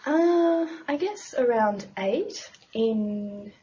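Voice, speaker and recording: A woman teacher answering interview questions, pausing often with small hesitations. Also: a little high, expressive, dark tone. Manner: hesitant with frequent "uh". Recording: muffled lo-fi recording with faint hiss.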